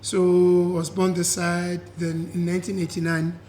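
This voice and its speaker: Brief: husky-voiced South African man in his twenties or thirties, slightly high-pitched, relaxed guest talk with a faint hiss behind. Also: moderate pace. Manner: relaxed, switches between English and isiZulu, repeats "yeah". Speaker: guest, singer. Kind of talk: casual interview chat.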